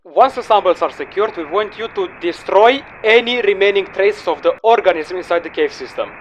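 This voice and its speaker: Man playing a high-pitched, curt mission handler. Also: lively intonation, brisk, slight background noise, rough recording. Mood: measured, official. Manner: formal, curt, unyielding. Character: stern, businesslike male mission handler briefing a mercenary. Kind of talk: scripted mission briefing, audio log drama.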